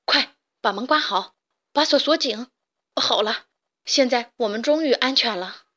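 A woman voicing the smallest, youngest of three little pigs, a sensible piglet who gives calm advice. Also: fairly high pitch, measured pace. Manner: calm advising.

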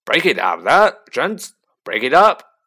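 A man voicing a huge, gruff, kindly giant of a man in a storybook reading, loud and forceful. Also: fairly low pitch, sing-song, unhurried, exaggerated voice. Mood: commanding.

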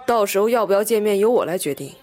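A woman's voice: medium pitch, animated, fast, darkish tone, close to an everyday voice. A woman playing a guarded young man in an audio drama, bargaining in a wary, matter-of-fact way.

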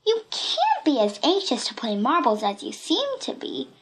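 A plucky little girl from a children's storybook, played by a woman reader. Her voice is high and swoops with expression. She is cheeky and fearless, with a breezy, mock-innocent politeness. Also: huge pitch swings, moderate pace, poor audio. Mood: teasing. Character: plucky, cheeky little red-headed girl, unafraid of bullies.

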